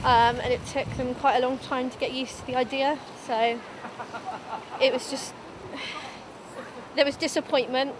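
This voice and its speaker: A woman's voice: high voice, little pitch movement, a little slow, pauses often, noisy background. A 19-year-old woman giving a candid documentary interview, informal and a little hesitant, as if thinking aloud. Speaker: young mother being interviewed.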